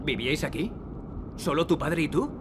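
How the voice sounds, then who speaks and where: Cocky, animated young adventurer played by a man, Castilian Spanish. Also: fairly high pitch, moderate pace, background noise, close to an everyday voice. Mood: wry. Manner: wry, teasing. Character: cocky sarcastic young male adventurer hero. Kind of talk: video game acting, European Spanish dub. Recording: loud music behind the dialogue. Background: loud game music.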